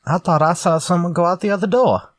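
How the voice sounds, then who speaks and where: A man playing an excitable writer in an old-time radio horror mystery. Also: high voice, fast, dry recording, close to an everyday voice. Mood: uneasy.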